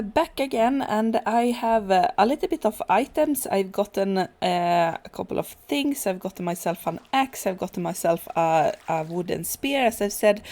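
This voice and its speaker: A woman whose first language is Swedish talks through her gameplay in English. Her speech is relaxed and halting, full of fillers and restarts. Her voice sits at a medium pitch. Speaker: gaming channel host. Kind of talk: casual let's play commentary.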